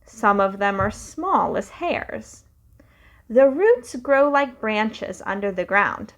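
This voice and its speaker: A young woman reading a picture book aloud to children in a slow, sing-song storytelling way. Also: mid-range voice, lively intonation.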